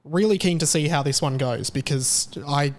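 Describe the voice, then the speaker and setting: A man's voice: a little high, normal speed. A young man hosting his own podcast, chatting casually with sarcasm and frequent laughter. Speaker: podcast host. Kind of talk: casual solo chat.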